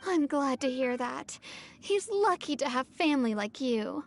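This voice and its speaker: A woman's voice: high-pitched, animated, a little slow, soft background music. An actress playing a thankful mother whose family was rescued, in a video game. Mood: grateful. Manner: earnest, thankful.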